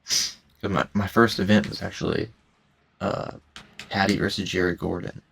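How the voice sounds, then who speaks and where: Deep gravelly-voiced teen guy rambling. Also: fairly even intonation, a bit bright, slight background noise. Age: teens. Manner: halting, restarts and stutters, self-conscious. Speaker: gaming commentator. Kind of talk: rambling casual commentary over gameplay. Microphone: cheap headset mic.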